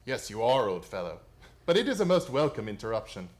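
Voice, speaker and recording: Shrewd male detective, lively melodic delivery, brisk, theatrical, superior yet courteous, radio play. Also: medium pitch. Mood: keen, instructive.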